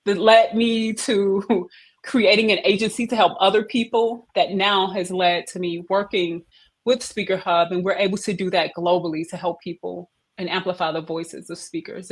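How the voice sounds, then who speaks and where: A middle-aged woman, a guest on a video-call interview, telling her career story in a lively, emphatic way. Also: on the low side. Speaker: head of operations, interview guest. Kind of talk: casual interview conversation.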